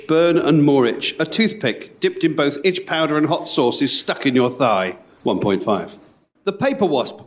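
Male reader, slightly high voice, dramatic delivery of short poetic lines. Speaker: live event reader.

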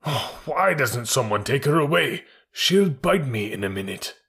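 A man's voice: medium pitch, very animated, measured pace, a bit bright, breathy edge, voice pushed up, heavily acted. A man voicing a nervous, easily offended male elephant in a storybook, fussy and self-pitying in manner. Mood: flustered, fearful.

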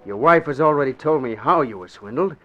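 An actor playing a brash, insistent American newspaper reporter, pushy and slangy, in 1940s radio style. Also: male voice, mid-range voice, lively intonation, brisk, lightly acted. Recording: muffled, faintly noisy 1940s radio recording.

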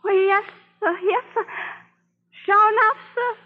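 High-pitched, nervous young servant boy. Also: steady tone, a little slow. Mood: frightened, eager. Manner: stammering, deferential, folksy dialect. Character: nervous young boy, a disguised runaway slave, deferential. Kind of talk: 1950s radio drama acting. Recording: muffled old radio broadcast.

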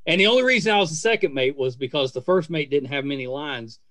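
A man's voice: fairly high pitch, animated, quick pace, fairly bright tone, no room sound. Chatty, hesitant American man hosting. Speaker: podcast host. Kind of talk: casual celebrity interview.

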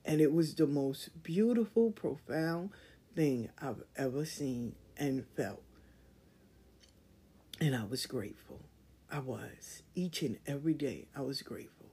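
Husky woman telling a candid story. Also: extremely low pitch, animated, a little slow. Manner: candid, storytelling, swings from loud emphasis to quiet. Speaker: podcast host. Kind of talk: casual monologue.

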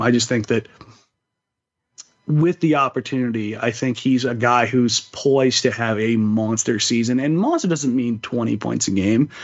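A man co-hosting a sports podcast, talking casually and giving his opinions in long, thinking-aloud explanations. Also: medium pitch. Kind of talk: casual sports talk and analysis. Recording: slightly dull, lo-fi sound.

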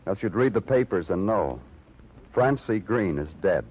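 An actor playing a weary, probing police detective who narrates his own cases in a measured, terse 1950s radio crime drama style. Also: fairly low pitch, lively intonation, a little hiss or hum, lightly acted. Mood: pressing, stern. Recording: muffled old radio broadcast with music.

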